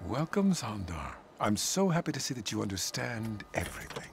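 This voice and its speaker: Low-voiced, unctuous cult leader man. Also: very animated, normal speed, creaky, slight background noise. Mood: serene, sly. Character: serene, sly male cult leader. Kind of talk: video game cutscene acting.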